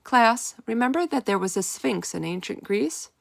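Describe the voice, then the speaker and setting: A woman's voice: fairly high pitch, expressive, moderate pace, vocal fry, no echo, clean studio sound, voice pushed up. A woman voicing a patient, encouraging schoolteacher in a storybook, with an instructive classroom manner.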